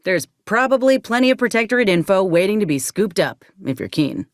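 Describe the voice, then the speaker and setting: Actress as gruff merc lady, ex combat medic in a game, guarded n sardonic, really lively pitch, dry studio sound. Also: fairly quick, no room sound, lightly acted. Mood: guarded, matter-of-fact. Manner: folksy, blunt, sardonic. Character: tough, guarded, weary former combat medic turned mercenary troubleshooter. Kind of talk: video game character acting.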